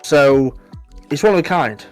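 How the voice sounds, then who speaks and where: A man, a podcast guest chatting casually, animated and emphatic, giving advice in short punchy bursts. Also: fairly high pitch, a little slow, clean tone, soft background music. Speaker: podcast guest, Twitch mod.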